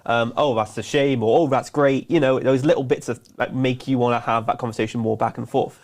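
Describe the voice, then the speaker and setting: A man's voice: mid-range voice, steady tone, a little mellow. A man co-hosting a casual business chat, talking in a chatty, easygoing way. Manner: chatty, quick, laughs easily. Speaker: co-host.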